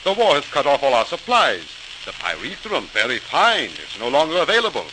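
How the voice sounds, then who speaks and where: An actor playing a distraught Swiss vineyard owner who pleads emphatically in 1940s radio drama style. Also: male voice, medium pitch, huge pitch swings, a little fast. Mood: desperate. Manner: emphatic, repetitive, foreign-flavored phrasing. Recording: noisy crackling old radio recording.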